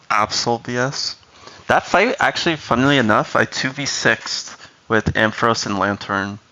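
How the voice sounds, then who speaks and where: A man's voice: fairly low pitch, bright, forceful tone, poor audio. A man from Brazil whose first language is Portuguese, chatting casually on a live stream, explaining strategy and answering chat.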